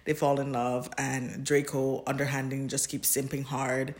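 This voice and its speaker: A woman's voice: rumbling low, flat delivery, moderate pace. A woman co-hosting a podcast, chatting casually and informally about fan fiction in a giggly, rambling way. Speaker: podcast co-host.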